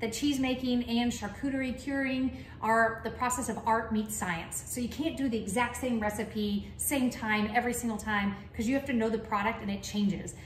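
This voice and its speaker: Enthusiastic Texas-accented woman, higher voice, folksy class host; roomy echo, light noise. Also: steady tone, normal speed, hardly any pauses. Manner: chatty and enthusiastic, folksy asides. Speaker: cheese shop founder teaching a tasting class. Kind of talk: casual tasting class. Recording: echoey room, faint background noise.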